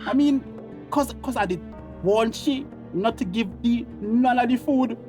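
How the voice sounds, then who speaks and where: A man's voice: very high voice, measured pace, music in the background. A man playing a jealous, menacing uncle in a radio drama, ranting threateningly in Tobagonian dialect. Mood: bitter, self-righteous. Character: bitter, menacing uncle, jealous and self-righteous villain.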